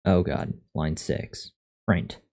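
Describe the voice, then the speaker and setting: A man chatting casually on a live coding stream, thinking aloud in a monotone voice into a USB condenser microphone. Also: very deep voice, takes it slowly, vocal fry, slight background noise. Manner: thinking aloud, reading out and answering chat. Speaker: live streamer learning to code. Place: untreated room at a computer. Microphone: USB condenser microphone at a desk.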